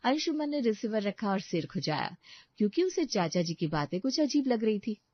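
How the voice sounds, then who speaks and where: A woman narrates a scripted moral story. Her voice has an average pitch. The sound is dry, close and a little muffled. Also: very animated, normal speed, no echo.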